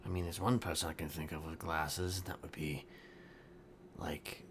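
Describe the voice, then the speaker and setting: A man playing a wry, sardonic prisoner in an improvised online role-play, talking in a halting, incredulous way. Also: rumbling low, flat delivery, fairly bright tone, slight background noise. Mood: puzzled. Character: wry, sardonic male prisoner, loose-tongued and excitable. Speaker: role-player on a game livestream. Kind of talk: improvised in-character chat.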